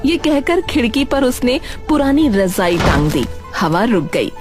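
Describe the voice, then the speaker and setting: A woman's voice: fairly high pitch, very animated, unhurried, over music. A woman narrating a scripted cartoon story.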